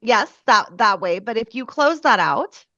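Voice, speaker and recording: A woman's voice: on the high side, animated, a little slow, fairly bright tone, no echo. A woman co-hosting a webinar, patiently giving step-by-step technical directions. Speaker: webinar co-host. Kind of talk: giving live technical instructions. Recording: dull lo-fi webinar audio.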